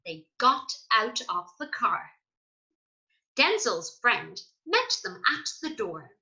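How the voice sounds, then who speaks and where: A woman reads a storybook to young children in a high, very animated voice. She goes very slowly and expressively, turning to her listeners with questions and asides. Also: lo-fi sound. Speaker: primary school teacher reading aloud. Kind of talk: children's story read-aloud with asides to pupils.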